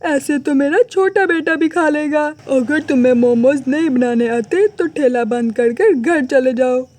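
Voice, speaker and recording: A woman's voice: high voice, a little slow, darkish tone. A woman voicing a rude, demanding, complaining man, a bossy customer in a cartoon moral story. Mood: annoyed, scornful. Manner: scornful, bossy.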